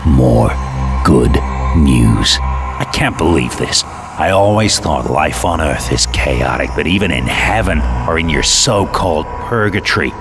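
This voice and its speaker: A man's voice: a bass voice, expressive, a little slow, over music, exaggerated voice. An actor playing a jaded, cynical antihero who narrates his war in a world-weary, sardonic way for a game. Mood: bitter, cynical. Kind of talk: hardboiled scripted narration.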